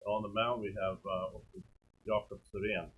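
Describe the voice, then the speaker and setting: A man's voice: lowish voice, level tone, moderate pace, breathy edge. A man doing color commentary for a baseball game, hesitantly filling in player stats and background. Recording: thin phone-like stream audio.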